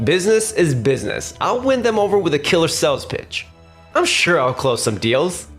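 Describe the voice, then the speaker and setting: A man voicing an overconfident, pushy young real estate salesman in an animated manga dub style. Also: on the high side, normal speed. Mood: cocky. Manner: cocky, persuasive, animated. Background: faint background music.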